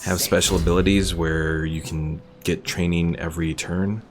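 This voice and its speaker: A man's voice: sits low, little pitch movement, normal speed, slight background noise. A man giving casual live commentary as a game streamer, chatting and thinking aloud as he explains mechanics.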